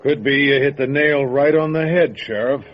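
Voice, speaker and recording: A man's voice: mid-range voice, moderate pace, close to an everyday voice. An actor playing a sly backwoods mountain man in a radio horror drama, wry and cryptic. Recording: dull, noisy vintage-style radio drama audio.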